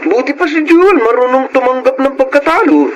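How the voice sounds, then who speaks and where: A very high-pitched, teasing Filipino cousin. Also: male voice, animated, fairly quick, lo-fi sound, a little hiss or hum. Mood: taunting. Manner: taunting, playful. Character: teasing thirty-year-old cousin, cocky game winner. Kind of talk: Filipino radio drama acting.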